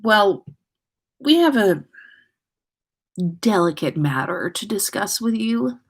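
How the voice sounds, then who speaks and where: Woman as an earnest schoolboy wizard, very animated. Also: mid-range voice, takes it slowly, husky, exaggerated voice. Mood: tactful, hesitant. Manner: hesitant, grumbling, indignant when slighted. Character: earnest, easily put-out schoolboy wizard hero.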